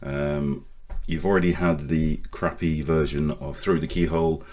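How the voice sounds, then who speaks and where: A man in his forties from Northern Ireland with a mostly English accent, telling his life story to camera in a halting way, with many pauses and restarts. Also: rumbling low, little pitch movement, a little mellow. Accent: English with Northern Irish traces. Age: 40s. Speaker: online safety vlogger.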